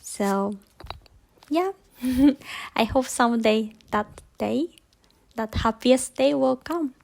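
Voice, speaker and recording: A young woman from Japan, a student giving an interview answer. She speaks earnestly and haltingly, with short pauses. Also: on the high side, vocal fry, darkish tone.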